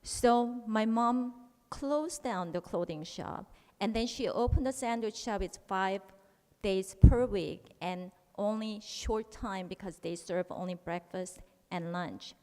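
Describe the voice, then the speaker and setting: A woman's voice: mid-range voice, slow pace, mellow, big-room sound. A middle-aged woman with a Korean accent, whose first language is Korean, giving a personal speech at an event with restarts and broken grammar. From South Korea. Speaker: guest speaker.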